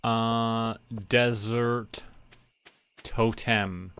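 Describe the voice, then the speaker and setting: Slow-paced man with a bright voice. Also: medium pitch, fairly even intonation, clear, resonant tone. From Canada. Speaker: game streamer. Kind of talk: relaxed casual game commentary, thinking aloud.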